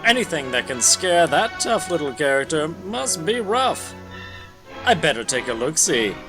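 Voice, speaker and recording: Man reading as a breezy, high-voiced adventure hero. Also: sing-song, unhurried, music in the background, rough recording. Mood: curious. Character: laid-back shirtless adventurer hero, puzzled then curious. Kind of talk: comic book dramatic reading. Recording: clear background noise.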